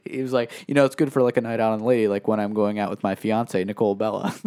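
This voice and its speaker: Rambling low-voiced man chatting wrestling. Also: little pitch movement, quick pace, a little mellow, clear, resonant tone. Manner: rambling, restarts sentences, chuckles often. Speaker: podcast co-host. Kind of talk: casual wrestling chat.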